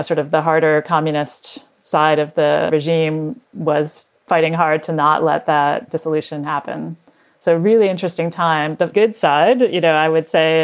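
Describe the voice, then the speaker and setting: A woman in her fifties, a podcast guest telling her story in a measured, articulate way. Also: low-pitched. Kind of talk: conversational interview.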